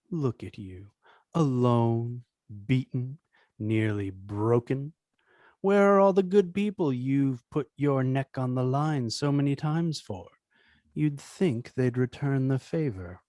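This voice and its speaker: A man's voice: mid-range voice, animated, slow, mellow, no echo, clean studio sound. A man playing a smug villain mastermind who taunts with mocking condescension, in an amateur script table read. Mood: smug, taunting.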